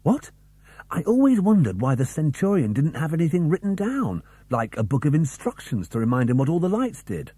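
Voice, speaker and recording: A man's voice: a little high, big pitch swings, a little fast, mellow, husky, no room sound. A man voicing a curious, polite schoolboy in an audiobook, with a light, questioning manner. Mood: eager, hushed. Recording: dry cassette tape recording.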